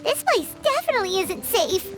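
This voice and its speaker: A woman voicing a tiny, childlike fairy companion in an anime-style video game, blunt and excitable, with a chirpy, candid delivery. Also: very high-pitched, fairly quick, exaggerated voice. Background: background music.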